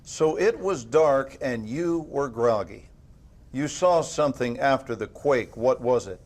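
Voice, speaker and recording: An actor playing a male government interrogator who speaks in a measured, dry and pressing way. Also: medium pitch, animated, darkish tone. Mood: cool.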